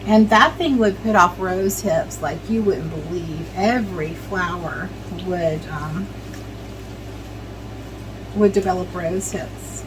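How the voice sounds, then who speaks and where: A middle-aged woman talks casually in her home kitchen as she works, wandering from topic to topic. She fills every pause with ums and restarts her sentences, at an ordinary middle pitch. Faint noise hums behind her. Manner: rambling, chatty, many ums and restarts. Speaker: vlogger. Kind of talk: casual chat while preserving herbs.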